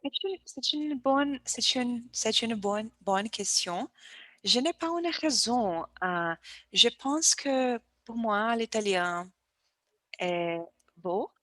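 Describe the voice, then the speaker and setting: Woman, learner of French, very slow and hesitant, dry close sound. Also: a little high, animated, pushed and bright, no room sound. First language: Portuguese. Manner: hesitant, searches for words, repeats herself. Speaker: language learner. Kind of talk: conversational language practice.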